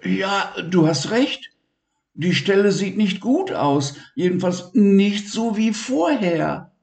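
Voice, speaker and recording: An eager, caring young boy, as a man reading a storybook puts him on, high above the reader's own voice and earnest in tone. The sound is slightly echoey, as in a room. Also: lively intonation, slow pace, gravelly, darkish tone, heavily acted. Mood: concerned.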